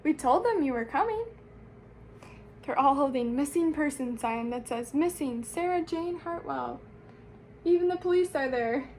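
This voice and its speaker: The brisk, cheery father at the bedside in a picture book, high-voiced as a woman reads him aloud to children. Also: animated, darkish tone, slight background noise. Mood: cheerfully reassuring. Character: cheerful, coaxing, exasperated father getting someone up. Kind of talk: children's storybook read-aloud.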